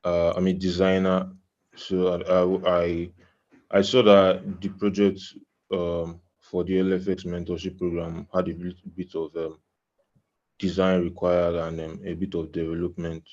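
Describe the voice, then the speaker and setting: A man's voice: deep voice, level tone, unhurried, darkish tone, muffled recording. A man from Nigeria, a designer, introducing himself on a video call with stammered repeats. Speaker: designer attendee. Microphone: computer mic on video call.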